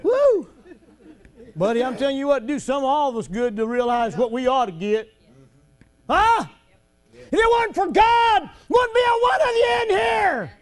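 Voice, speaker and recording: High-voiced preacher, folksy and shouting. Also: male voice, big pitch swings, normal speed. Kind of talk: sermon.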